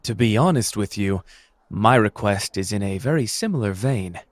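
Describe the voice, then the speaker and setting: A man playing a suave renegade sorcerer in an anime audio roleplay, speaking in a measured, formal, gently persuasive and manipulative way. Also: lowish voice, animated, no room sound, lightly acted. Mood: smooth persuasion.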